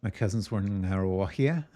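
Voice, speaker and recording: A man's voice: on the low side, dark tone, no room sound. A man with a New Zealand accent, streaming while he codes, chatting with viewers and thinking aloud. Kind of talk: live coding stream commentary. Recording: keyboard typing clicks behind.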